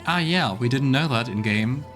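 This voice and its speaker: A man's voice: mid-range voice, lively intonation, normal speed. A man giving casual let's play commentary, thinking aloud as he goes. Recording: faint game music behind.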